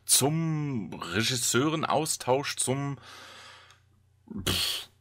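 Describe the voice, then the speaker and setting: A man's voice: medium pitch, expressive, drawn-out words, fairly bright tone. A man hosting a casual podcast chat with a wry, mock-dramatic way of talking. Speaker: podcast host.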